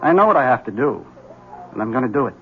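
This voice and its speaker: A man's voice: medium pitch, big pitch swings, fast. An actor playing a bitter, brooding husband haunted by his wife in a 1970s radio drama. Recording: tinny old radio broadcast with faint hiss.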